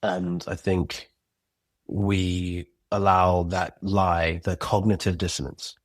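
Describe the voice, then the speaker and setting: A middle-aged man speaking as a podcast guest, talking reflectively with pauses and restarted phrases. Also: low voice, flat delivery, no room sound. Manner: thoughtful, pausing, restarts phrases. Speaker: podcast guest, writer and director. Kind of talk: reflective interview talk.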